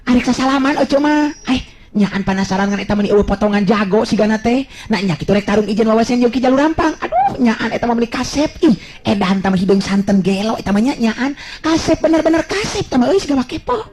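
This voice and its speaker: Woman acting a gushing high-voiced village girl. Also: animated, quick pace, darkish tone, slight background noise, lightly acted. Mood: smitten, excited. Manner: gushing, exclaiming, colloquial.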